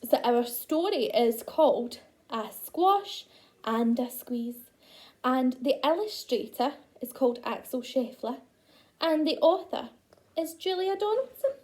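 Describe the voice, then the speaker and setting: Scottish nursery lady reading to little kids, really high voice, expressive and sing-song with lots of pitch movement. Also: unhurried. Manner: expressive, child-directed. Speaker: nursery worker reading story of the week. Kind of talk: picture-book storytelling for young children.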